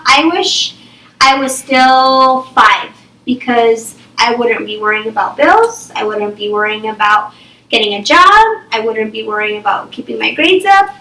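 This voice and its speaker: A young woman leads a small reading group through a story, thinking aloud and tagging almost every point with "okay?" or "right?". Her voice is bright and sits a little high. She is picked up from a distance in an echoey classroom. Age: young adult. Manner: checks in with "okay?" and "right?" constantly. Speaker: teacher. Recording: echoey room, far from the mic.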